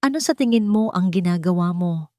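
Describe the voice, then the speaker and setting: A woman's voice: lowish voice, lively intonation, brisk, a little mellow, no room sound, clean studio sound, natural voice. A woman voicing a cold, furious, elderly rich matriarch in an audio drama, controlled, commanding and scornful. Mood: cold anger.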